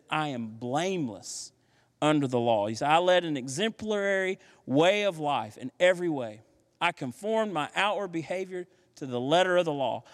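A man preaching a sermon as pastor, lively and playful, with slangy asides. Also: fairly high pitch, big-room sound.

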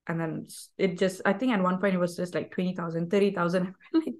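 A woman's voice: lowish voice, quick pace. Chatty woman. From Malaysia. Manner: chatty and animated, heavy use of "like". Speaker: guest. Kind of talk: casual conversational chat.

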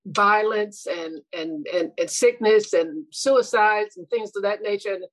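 A woman's voice: medium pitch, measured pace, no echo, rough recording. A middle-aged woman, a retired school administrator, talking earnestly and reflectively in an interview, often restarting her sentences. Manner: earnest, reflective, with frequent restarts and soft laughs. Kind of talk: casual interview conversation.

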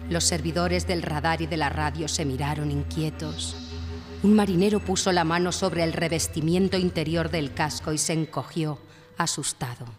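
Measured male narrator over clear music. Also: a little high, fairly even intonation. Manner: measured, literary storytelling. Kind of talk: scripted dramatic narration. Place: live stage in a library before an audience.

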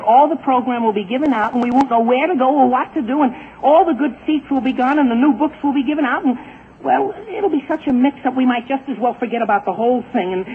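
High, exasperated teen boy, boyish slang, old radio sitcom, hissy narrow-band sound. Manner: whiny, flustered, exclaiming in boyish slang. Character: exasperated, fretful teenage boy, anxious about being late. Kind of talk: 1940s radio comedy acting. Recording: scratchy, tinny 1940s radio broadcast, noisy.

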